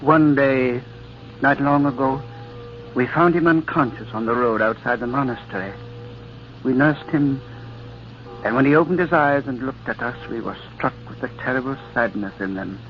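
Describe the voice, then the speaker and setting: A man playing a grave, compassionate monastery father, gentle and solemn, in an old-time radio drama. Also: medium pitch, normal speed, faint music behind, lightly acted. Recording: muffled old radio recording.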